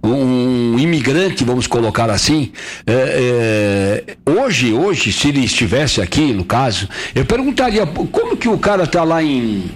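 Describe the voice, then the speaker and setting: A husky-voiced southern Brazilian man chatting casually on air as a host, rambling and halting with repeated words. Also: medium pitch, big pitch swings. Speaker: radio host.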